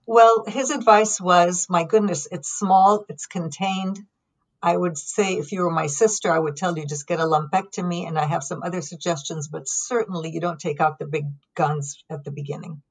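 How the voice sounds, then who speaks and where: A middle-aged woman from Lebanon, a podcast guest, telling her story calmly and reflectively with frequent pauses and ums. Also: fairly low pitch, poor audio. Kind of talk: conversational storytelling.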